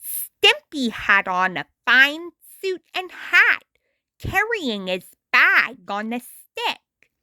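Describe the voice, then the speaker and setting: A woman reading a story aloud as an amateur storyteller, deliberate and expressive. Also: high voice, no room sound. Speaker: amateur storyteller reading their own adaptation. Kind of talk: storybook read-aloud narration.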